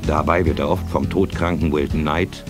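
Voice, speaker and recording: Deep-voiced male radio play narrator. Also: fairly even intonation, normal speed, over music.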